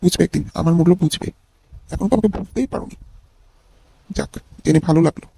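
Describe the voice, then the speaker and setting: A man voicing a bitter young jilted ex-boyfriend with a high, husky voice and a needling manner in an amateur comedy cartoon. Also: fast, dark tone. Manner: taunting, needling.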